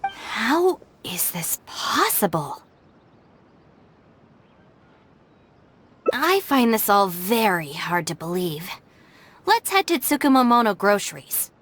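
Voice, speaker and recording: Game role: level-headed young female gang deputy, courteous, dry, expressive, medium pitch. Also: a little hiss or hum. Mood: stunned disbelief. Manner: polite, measured, dryly incredulous. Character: composed, sensible young woman, deputy of a gang. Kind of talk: anime-style game dialogue.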